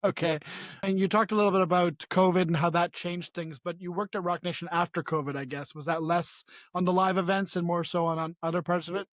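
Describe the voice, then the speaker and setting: Higher-voiced male podcast host. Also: steady tone, fairly quick, fairly bright tone, no room sound. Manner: friendly, chatty questioning with fillers. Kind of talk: casual interview questions.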